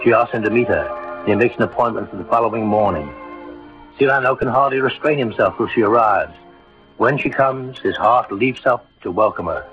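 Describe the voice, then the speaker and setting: A man narrates an old radio drama from a script. His voice sits fairly low. The sound is dull and dated, with faint music behind him. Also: lively intonation, a little fast. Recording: dull vintage radio recording.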